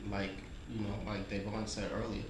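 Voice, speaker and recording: A man's voice: on the low side, level tone, moderate pace. A man talking casually and reflectively in a group discussion. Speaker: table read participant. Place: echoey room, group table read. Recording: very echoey room, distant mic, noisy.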